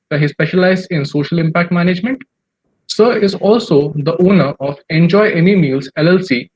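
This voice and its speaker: Formal conference anchor, husky voice. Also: female voice, on the low side, poor audio. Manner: formal, effusive, reading from a script. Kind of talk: formal thanks and speaker introductions.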